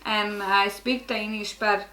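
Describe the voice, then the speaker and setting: A woman's voice: mid-range voice, fairly even intonation, slow, sharp tone, roomy sound, rough recording. A middle-aged woman from Norway with a Norwegian accent, speaking English as a guest in a casual interview, frank and often restarting her sentences. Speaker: guest, network marketer.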